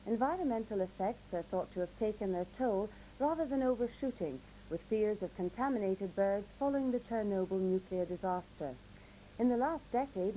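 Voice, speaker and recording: Casting: female regional news and weather reader, formal, measured, soft mellow tone, slightly noisy old tape. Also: medium pitch. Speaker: newsreader.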